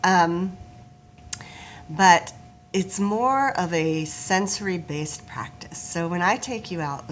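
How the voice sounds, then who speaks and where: A woman's voice: low-pitched, a little hiss or hum. A woman guest on a talk show, a forest therapist, explaining her work in an earnest, informative way. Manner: earnest, informative, laughs easily, some restarts.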